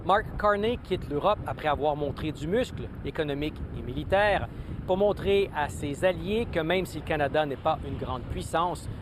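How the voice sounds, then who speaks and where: A man delivering a scripted news report from the field in a clear, measured way. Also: on the high side, noisy background.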